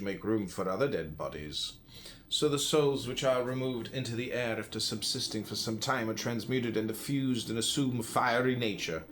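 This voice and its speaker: A man with an American accent and a fairly low voice reads philosophy aloud like an audiobook narrator. His delivery is solemn and emphatic, with a declamatory turn to the phrases. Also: fairly bright tone, a little hiss or hum. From United States. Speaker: audiobook reader. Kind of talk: audiobook reading of classical philosophy.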